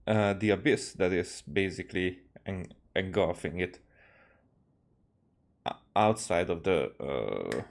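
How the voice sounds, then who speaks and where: A man's voice: lowish voice, darkish tone. A man giving casual game commentary as a streamer, hesitant and searching for his words as he explains.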